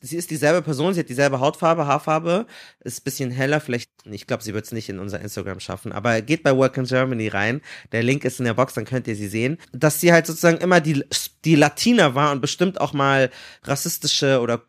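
A man's voice: mid-range voice, fairly quick, no echo, studio quality. A man hosting a casual podcast, a reporter who explains things in a chatty way with asides and easy laughs.

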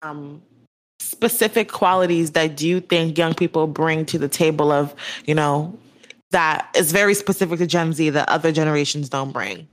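Low-voiced young woman hosting a podcast. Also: moderate pace. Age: young adult. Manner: casual, chatty, uses many "like" and "you know". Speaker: podcast host. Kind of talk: podcast interview.

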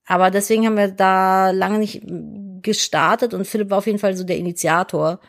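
Female podcast co-host: young adult, mid-pitched, lisping and chatty, full of fillers. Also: steady tone, brisk. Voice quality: self-described deep voice with a lisp. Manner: chatty, candid, frequent fillers like "ne?". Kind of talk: casual podcast chat.

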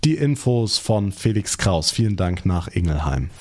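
A man's voice: low voice, big pitch swings, normal speed, a little mellow, a little hiss or hum. A man presenting a radio news magazine and leading into conversations with colleagues.

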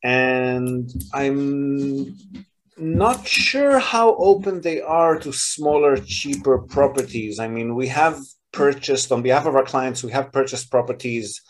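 A man at a middle pitch walks through property loans and purchase steps in a relaxed, explanatory way. He often pauses on "um" and "uh" and restarts his sentences as he goes. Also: rough recording. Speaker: real estate advisor and podcast host. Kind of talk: business call recording, casual advisory.

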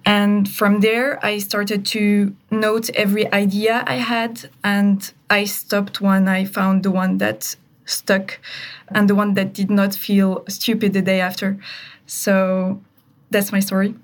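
A woman's voice: medium pitch, flat delivery, slow. A woman, a startup CEO, giving a composed self-introduction on a podcast.

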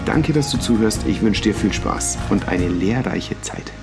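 A man calmly reading a podcast episode introduction, addressing the listener directly. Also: lowish voice, a little slow. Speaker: podcast host. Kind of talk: scripted intro narration. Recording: voice over guitar music.

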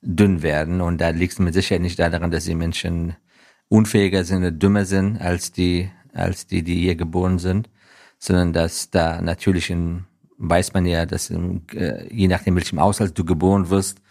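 This is a man from Afghanistan with a low voice, answering as a guest in an interview. He talks in an earnest, reflective way. His sentences halt and restart as he searches for the next phrase. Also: flat delivery, moderate pace, gravelly, a little mellow. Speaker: interview guest, business professional.

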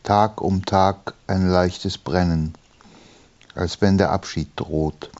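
A man reading his own poem in measured short phrases with pauses between them. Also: low-pitched, fairly even intonation, darkish tone. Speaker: poet reading own work. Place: small room, writing study. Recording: dull, muffled sound.

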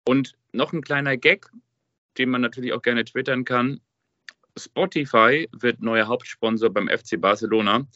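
A man's voice: medium pitch, moderate pace, pushed and bright, no room sound, highs cut off. A man co-hosting a casual football podcast, chatting informally as he plays along with a quiz. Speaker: podcast co-host and quiz contestant.

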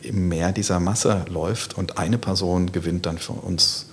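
A man speaking haltingly and deliberately in a reflective intellectual discussion, often pausing mid-phrase to find his wording. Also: low-pitched, fairly even intonation, slight background noise.

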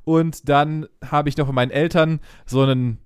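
A man's voice: a little high, fairly even intonation, moderate pace, clean tone, no echo, clean studio sound. A man in his thirties chatting casually, rambling through stories with many fillers, restarts and frequent laughs. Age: 30s. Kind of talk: casual chat.

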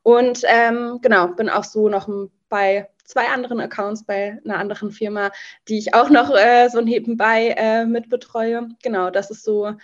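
A woman's voice: on the high side, fairly even intonation, normal speed. Bubbly young woman, slightly muffled recording. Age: early 20s. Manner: bubbly, youthful slangy wording, hesitant with many filler words. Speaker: podcast guest, beautician and entrepreneur. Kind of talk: casual interview chat.

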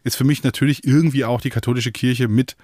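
Male guest, mid pitch, rambling and reflective, hesitant, dry close mic. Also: fairly even intonation, fast, mellow, no echo. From Germany, Münsterland. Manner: hesitant, many restarts and fillers, musing. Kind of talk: casual conversation.

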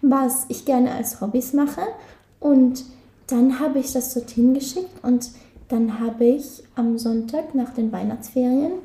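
A woman's voice: fairly even intonation, measured pace, mellow, roomy sound. A young woman from Austria with a light Carinthian-tinged German accent, chatting as an interview guest, laughing often and restarting her sentences. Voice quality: high voice. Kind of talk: casual interview chat.

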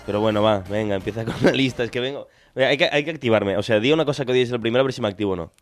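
A young man from Argentina co-hosting a podcast, chatting casually in a rambling, joking way, with quick asides and laughs. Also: medium pitch, soft background music. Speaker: podcast co-host. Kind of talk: casual banter.

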